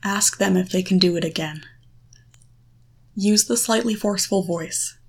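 Woman doing guided visualization, normal pitch, short deliberate lines, reassuring and instructive. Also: breathy edge. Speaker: guide leading a guided erotic confidence exercise. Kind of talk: guided visualization, second-person coaching.

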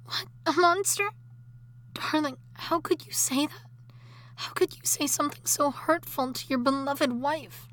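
A woman voicing an obsessive, jealous wife who has come back from the dead, in an audio drama that moves between intimate whispering and shrill shouting. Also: a little slow. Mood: furious. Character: obsessive jealous undead wife, possessive and unstable.

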